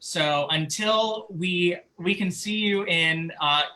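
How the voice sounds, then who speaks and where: A man's voice: high-pitched, takes it slowly, bright, forceful tone, a little hiss or hum. A man hosting an online discussion, speaking casually and earnestly to the audience, often repeating words as he goes. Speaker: host.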